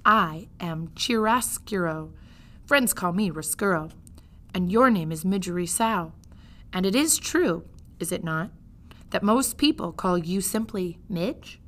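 A woman reading a storybook as a suave male rat who flatters with formal, flowery politeness. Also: on the low side, measured pace. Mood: flattering, smooth.

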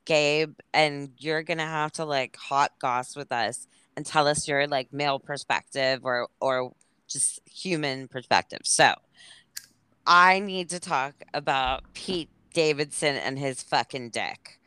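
Low, smooth-voiced woman chatting casually. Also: a little slow, pushed and bright, dry recording. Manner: informal, enthusiastic, slangy. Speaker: podcast host. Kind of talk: casual chat.

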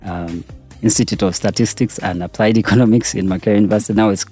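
Ugandan guy in his 40s, kinda low dark voice, lots of ums and uhs, faint music behind. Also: fairly even intonation, quick pace. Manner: hesitant, many fillers and restarts. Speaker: interview guest, entrepreneur. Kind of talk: casual interview conversation.

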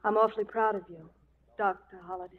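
A woman playing a gentle, caring lady tending the wounded in a 1940s western radio drama. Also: mid-range voice, steady tone, quick pace, lightly acted. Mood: gentle concern. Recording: dull, faintly noisy 1940s radio recording.

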